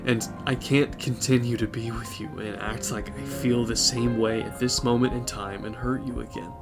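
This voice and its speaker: A man's voice: mid-range voice, flat delivery, normal speed, vocal fry, natural voice. A young man acting in an audio drama as a conflicted, remorseful boyfriend, speaking earnestly and apologetically. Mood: earnest, anxious. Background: plucked guitar music.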